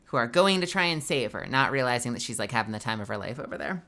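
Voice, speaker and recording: A woman voice actor streaming games, chatting casually and telling a story with her low voice, swearing freely. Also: lively intonation, quick pace. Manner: swearing, storytelling, loud bursts of disbelief. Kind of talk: casual gaming stream chat.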